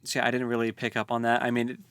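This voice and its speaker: Male podcast co-host, mid-pitched, very dry recording, rambling informal book chat. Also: monotone, fast, fairly bright tone, clean tone, dry recording. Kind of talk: casual book discussion.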